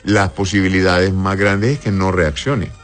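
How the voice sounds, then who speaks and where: A man with a very low voice hosts a medical advice show. He reads out listener messages and answers directly, explaining things plainly and often checking with a short tag question. Faint music plays behind him. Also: fairly even intonation, unhurried.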